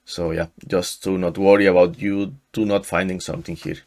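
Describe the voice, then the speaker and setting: A man in his thirties from Mexico, a native Spanish speaker with a Mexican accent, walking through a software install in a screen-share tutorial, often restarting his sentences. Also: sits low, level tone. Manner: halting, frequent restarts and fillers. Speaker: tutorial host. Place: small room indoors. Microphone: headset.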